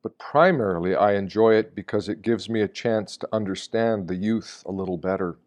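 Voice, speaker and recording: Male reader, lowish pitch, measured storytelling delivery of a scripted reflective meditation. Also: a little mellow. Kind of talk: scripted reading of a reflective first-person meditation.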